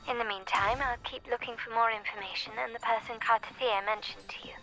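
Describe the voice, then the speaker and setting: A resolute, protective noblewoman in a video game. Her voice is fairly high and forceful, with formal, determined phrasing. Faint music runs underneath. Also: steady tone, a little fast, rough recording. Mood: protective resolve. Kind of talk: video game dialogue acting.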